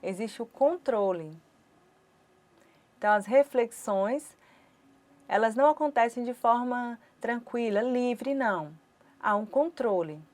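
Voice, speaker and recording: A Brazilian Portuguese woman with a fairly high voice interprets a course lecture aloud. She speaks in careful, measured short phrases and pauses between them, like a teacher's words voiced on the fly. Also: lively intonation, darkish tone. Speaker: interpreter voicing a sign-language lecture.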